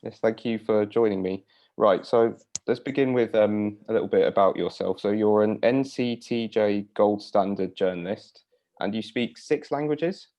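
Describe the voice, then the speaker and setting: A man with a fairly low voice hosts an interview. He asks polite, semi-formal questions, and the sound is a little dull and lo-fi. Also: normal speed, mellow. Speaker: interviewer, host. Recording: slightly dull, lo-fi audio.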